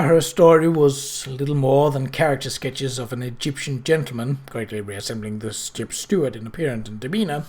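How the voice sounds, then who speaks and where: A narrator reading a novel aloud in an expressive, scripted storytelling style. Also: mid-range voice. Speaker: audiobook narrator.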